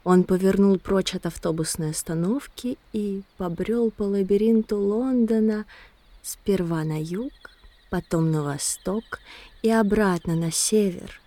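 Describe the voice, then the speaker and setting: A woman's voice: medium pitch, a little slow, warm tone, no room sound. A woman narrating an audio drama from a script.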